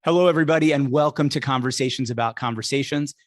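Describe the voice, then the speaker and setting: A man's voice: mid-range voice, fast talker, no echo, clean studio sound, faint music behind. A man hosting a podcast, delivering scripted introductions and interview questions.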